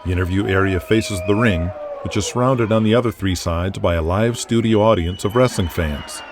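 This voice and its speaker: A man's voice: sits low, moderate pace, noisy background. A man narrating a scripted audio drama in the present tense, with intense, punchy storytelling.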